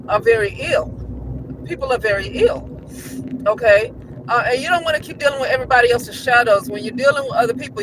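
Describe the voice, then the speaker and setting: A man vlogging in a casual, rambling monologue, often restarting his sentences and asking rhetorical questions. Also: very high-pitched. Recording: noisy street traffic behind.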